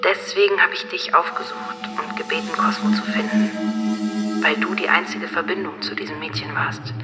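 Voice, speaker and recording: A woman playing a soft-spoken, eerily polite, girlish computer intelligence in a German radio drama, breathy and coaxing. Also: sits low, animated, moderate pace. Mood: confessional. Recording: long reverb, music underneath.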